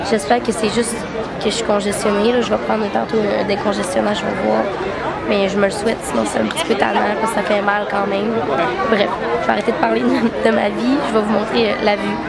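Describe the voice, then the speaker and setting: A woman's voice: medium pitch, level tone, fairly quick, vocal fry, a loud crowd. A young woman with a Québécois accent, congested and tired, chatting casually while vlogging and using lots of fillers. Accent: Québécois French. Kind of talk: casual travel vlog.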